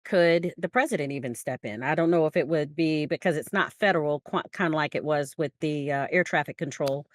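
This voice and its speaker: A woman's voice: low voice, a little fast, few pauses, dry recording, studio quality. An American woman co-hosting a podcast in conversational discussion, telling stories emphatically and posing rhetorical questions. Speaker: podcast co-host.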